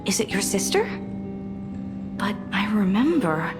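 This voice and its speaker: A woman's voice: medium pitch, very animated, measured pace. A woman voicing a caring, slightly exasperated female nurse in a video game. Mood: gentle, encouraging. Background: music.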